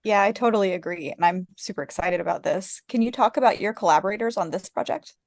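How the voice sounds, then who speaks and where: A woman's voice: mid-range voice, quick pace, no room sound. A woman co-hosting a conversational interview in an encouraging, enthusiastic way. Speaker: interviewer, co-host.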